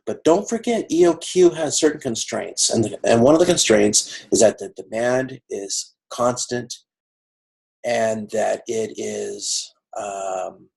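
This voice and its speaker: A man teaching as a college instructor, explaining a worked example in an informal, halting way with repeated phrases. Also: fairly low pitch, vocal fry, a little mellow, poor audio. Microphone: video conference.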